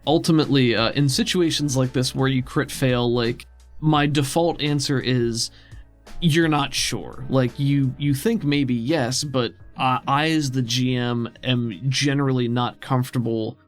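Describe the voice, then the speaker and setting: A man's voice: mid-range voice, steady tone, unhurried. A man running a tabletop game as game master, narrating off the cuff and chatting casually with the players. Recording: music behind. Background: background music.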